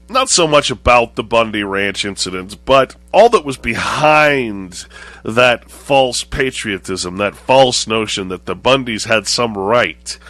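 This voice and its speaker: Low-voiced man hosting, getting over a cold. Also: bright, forceful tone, dry recording. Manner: deliberate, lecturing, repeats and rephrases phrases. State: voice lowered and congested, recovering from chest cold. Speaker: show host. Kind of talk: monologue to viewers, political and religious commentary.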